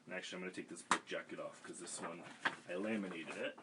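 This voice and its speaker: A man reading a picture book aloud to children over a livestream, with gentle chatty asides. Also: sits low, quick pace, heavy background noise. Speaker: storytime reader for children. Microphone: webcam livestream.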